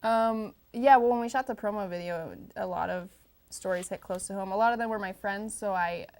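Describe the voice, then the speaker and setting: A woman speaks as a filmmaker being interviewed. Her voice is of middling pitch with a clear creak. She answers casually and a bit hesitantly, in informal language. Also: a little fast, a little mellow.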